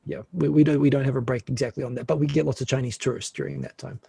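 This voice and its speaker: A man appearing as a podcast guest over a video call, calmly explaining things in a casual, knowledgeable way. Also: medium pitch, gravelly, husky, no echo. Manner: explanatory, knowledgeable, quick asides.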